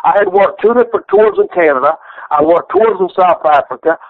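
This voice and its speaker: A man's voice: high voice, expressive, fairly quick, dry recording. A middle-aged man with a Southern accent, telling old stories in a rambling, profane, folksy way, often restarting his sentences. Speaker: retired pro wrestler guest. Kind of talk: candid storytelling interview. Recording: tinny phone line, dry.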